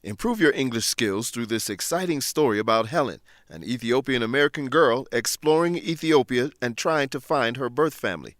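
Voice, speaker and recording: A man narrating a scripted radio drama for English learners, with deliberate, presentational phrasing. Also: medium pitch, lively intonation, fairly bright tone, no echo, clean studio sound.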